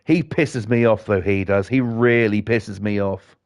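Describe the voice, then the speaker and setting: A middle-aged British man chatting and ranting with lots of swearing while he plays a game and talks to viewers, his voice a bit croaky. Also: on the low side, fairly even intonation, a little slow. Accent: British English. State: slightly croaky. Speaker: gaming livestreamer. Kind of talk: casual chat while playing a game.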